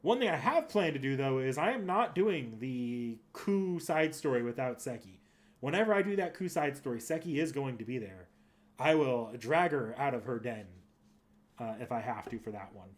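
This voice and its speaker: A man with an average-pitched voice talks casually as a livestream host. He rambles through his thoughts, backing up and correcting himself as he answers his viewers. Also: expressive, normal speed. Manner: rambling, thinking aloud with frequent self-corrections. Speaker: streamer and host.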